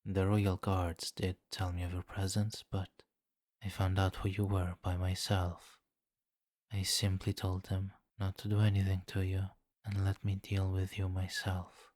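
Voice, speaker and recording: A deep-voiced vampire king played by a man speaks slowly and closely in a dry, intimate ASMR roleplay. He is imperious and seductive, teasing with soft menace and condescending amusement. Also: completely flat delivery, dry recording. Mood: amused, smug. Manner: slow, commanding, teasing, softly menacing. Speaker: roleplay voice performer.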